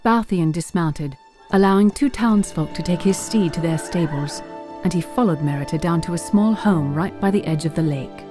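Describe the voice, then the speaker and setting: A woman's voice: fairly low pitch, normal speed, over music. A woman narrator reading scripted story narration for a fantasy audio drama.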